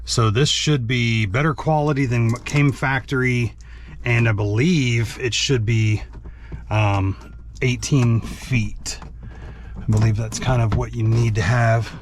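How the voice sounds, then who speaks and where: A man's voice: medium pitch, fairly even intonation, takes it slowly. Plainspoken man, faint noise behind. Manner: thinking aloud, plainspoken. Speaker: host and truck driver demonstrating an installation. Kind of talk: casual hands-on tutorial narration.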